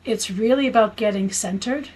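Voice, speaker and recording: A woman's voice: mid-range voice, moderate pace, darkish tone, slight background noise. A woman in her fifties with an American accent, talking as a guest in a conversational interview, explaining earnestly and often checking for agreement. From United States. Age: 50s. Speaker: guest, spiritual healer and teacher.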